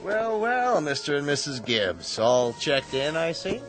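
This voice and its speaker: An actor playing a slick, two-faced hotel manager in a radio drama, smooth-talking and glib. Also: male voice, on the high side, very animated, unhurried, over music. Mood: falsely cordial.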